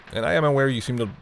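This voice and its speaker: A man playing a flustered, tender and grudgingly fond vampire boyfriend in an intimate roleplay audio, hesitant and teasing, with a husky voice. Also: mid-range voice, a little hiss or hum, close to an everyday voice. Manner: hesitant, easily embarrassed, teasing.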